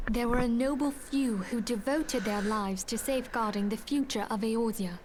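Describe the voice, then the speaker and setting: An earnest woman who leads a secret order, as a streamer reads her, speaking formally and evenly in a slightly higher voice. A faint hiss sits under the recording. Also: a little mellow, close to an everyday voice. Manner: formal, measured storybook reading.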